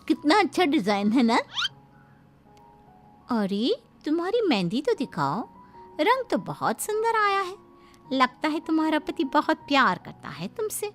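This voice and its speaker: Woman acting very high, animated gossip. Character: vain, gossipy married woman at a village wedding. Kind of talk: cartoon moral-story voice acting. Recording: background music under the voice.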